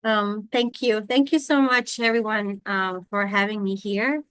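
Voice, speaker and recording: A woman's voice: mid-range voice, fairly even intonation, unhurried, a bit bright, clear, resonant tone, no echo. A woman from Serbia giving an online scientific lecture as a university lecturer, speaking with many fillers and restarts. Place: home in Thailand during monsoon rain. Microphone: video call.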